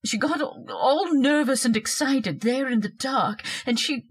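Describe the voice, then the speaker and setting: A woman's voice: sits high, animated, measured pace, husky, full character voice. A woman playing a snobbish, scolding wife and party hostess in old-time radio horror style. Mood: scornful, aggrieved. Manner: haughty, scolding, theatrical declaiming.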